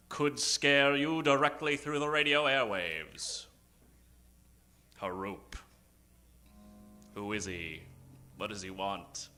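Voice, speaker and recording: A man hosting as a theatrical mock old-time radio announcer, speaking dramatically with suspenseful pauses and sudden emphasis. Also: medium pitch, sharp tone. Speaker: announcer and host of an improvised radio show. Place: theater stage. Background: live keyboard music.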